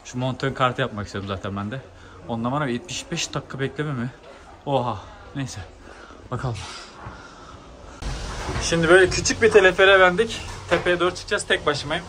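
A man's voice: mid-range voice, animated, brisk, long pauses, bright, forceful tone, a little hiss or hum. A man, chatting casually and thinking aloud in slangy, informal speech as a travel vlogger. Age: 20s. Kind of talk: casual spontaneous vlog chat. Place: outdoors on mountainside, some in cable car. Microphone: handheld camera at arm's length.

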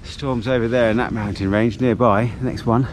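A man's voice: mid-range voice, steady tone, moderate pace, very noisy. A middle-aged man, chatting casually to camera and his dog while hiking a mountain ridge outdoors. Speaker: hiking vlogger. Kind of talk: casual outdoor vlog commentary. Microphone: action camera on a pole.

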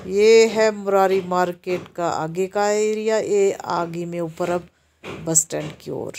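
A woman's voice: lowish voice, creaky. A woman from Himachal Pradesh narrating a casual walking tour of a busy outdoor market, explaining the shops to viewers as she films while walking. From Himachal Pradesh, India. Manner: slow, even-toned, explaining to viewers. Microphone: handheld camera while walking.

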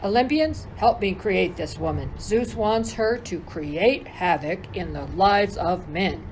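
A woman's voice: mid-range voice, lively intonation, takes it slowly, a little mellow, slight background noise, low-quality recording. A woman playing a busy, self-assured male god in a radio play. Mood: businesslike.

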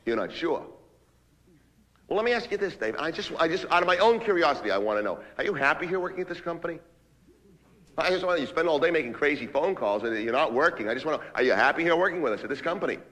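A man acting a volatile male office boss in a dark comic monologue. His voice sits fairly high and swings widely in pitch. He repeats himself insistently, badgering and smooth-talking whoever is on the line. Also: lively intonation, fast. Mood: falsely calm. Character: volatile, manipulative, foul-mouthed male office boss on phone calls.

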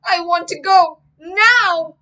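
Lady doing a scared whiny little girl from a storybook, high voice, pleading and impatient. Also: takes it slowly, sharp tone, recorded from a distance, heavily acted. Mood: insistent, upset. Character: frightened, impatient young girl.

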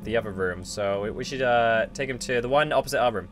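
A young man hosting an improvised Minecraft roleplay, animated and laughing as he talks over his partner. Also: mid-range voice, fast talker, noisy background. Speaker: roleplay channel host playing a ghost hotel worker.

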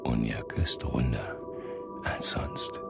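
Very deep, creaky Arctic hunter. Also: male voice, slow pace, exaggerated voice. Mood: contemplative. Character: taciturn male Arctic hunter, thinking aloud. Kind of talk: radio play acting. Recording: studio voice over prominent music.

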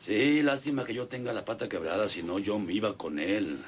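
A man's voice: lowish voice, fairly quick, slight background noise, close to an everyday voice. A man acting a boastful, bossy ranch boss in an old-fashioned radio drama, with a rural northern Mexican accent and folksy, domineering manner. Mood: scheming.

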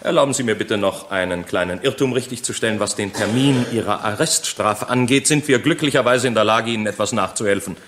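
A man's voice: fairly low pitch, a little fast, hardly any pauses, a bit bright, slight background noise, close to an everyday voice. A man playing a shrewd, methodical defence lawyer in a German crime radio drama, questioning formally and pointedly. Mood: triumphant, pointed. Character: shrewd, insistent male defence lawyer, methodical cross-examiner. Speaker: actor in a courtroom radio play.

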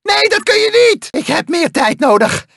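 A tiny, manic cyborg mad scientist from a cartoon video game. The voice is extremely high and gravelly, lurching wildly between self-pitying mutters and villainous shrieks. It is a very dry, close recording. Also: male voice, big pitch swings, normal speed, a bit bright, no room sound, full character voice. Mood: frantic, upset. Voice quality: shrill, strained, gravelly.

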